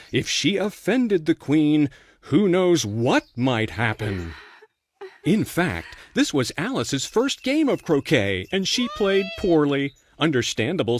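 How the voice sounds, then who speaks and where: A man narrating a scripted children's storybook tale as its storyteller. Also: a little high. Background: faint music.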